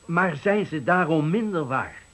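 A higher-voiced older retiring worker. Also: male voice, lively intonation, fairly bright tone. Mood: indignant, resolute. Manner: formal, deliberate, pointed. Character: older retiring male worker, dignified, frank, quietly resentful. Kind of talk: Dutch radio play, formal speech acting.

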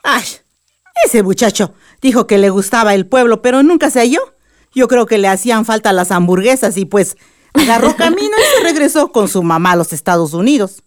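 A woman's voice: fairly high pitch, expressive, fairly quick, lightly acted. An actress with a Mexican accent playing an affectionate, teasing, lonely old village grandmother in a radio drama. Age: older.

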